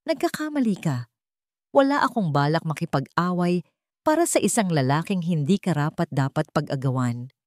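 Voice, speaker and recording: A woman narrator voicing a wronged wife who is calm, direct and determined, in a story, with a Filipino accent. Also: sits low, normal speed, dry recording, studio quality. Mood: calm, resolute. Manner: controlled, direct. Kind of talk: storybook character dialogue.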